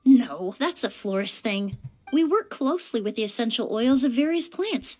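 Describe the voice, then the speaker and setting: A woman voicing a polite, refined young perfumer in a video game, measured and formal. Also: on the high side, animated, darkish tone, a little hiss or hum, lightly acted. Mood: composed, gracious.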